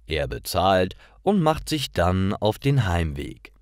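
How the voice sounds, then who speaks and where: A man reading scripted stories aloud for language learners in a careful, deliberate manner. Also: sits low, very animated, dry recording, studio quality.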